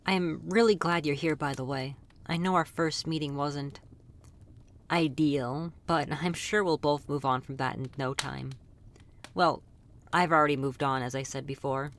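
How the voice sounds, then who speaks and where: A woman voicing a lonely, centuries-old female vampire in an intimate ASMR roleplay, soft-spoken and confiding, speaking fondly and wistfully to the listener. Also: sits low, steady tone, normal speed. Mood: grateful, tender.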